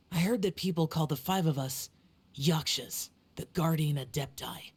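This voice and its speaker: A woman voicing a solemn young male warrior guardian in a video game, speaking in a grave, measured, weary way. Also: low-pitched, a little mellow, husky.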